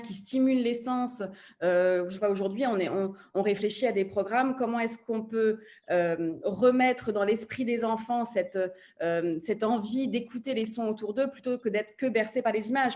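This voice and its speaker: A woman with a mid-pitched voice speaks as a panelist in a conversational discussion. She thinks aloud, doubling back on small words as she builds her explanation. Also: darkish tone. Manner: hesitant, repeats words while thinking aloud. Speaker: panelist, audio fiction publisher.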